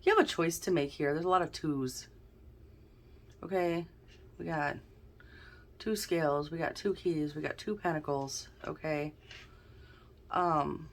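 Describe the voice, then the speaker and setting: A woman's voice: deep voice, unhurried, pauses often. A woman hosting a casual tarot card reading, chatty and thinking aloud, sounding a little snuffly and stuffed-up. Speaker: tarot reader, channel host.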